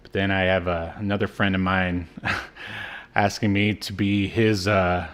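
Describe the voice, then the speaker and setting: Low-pitched man, casual joking podcast co-host. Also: level tone, unhurried, a bit bright. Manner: joking, teasing. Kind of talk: casual chat.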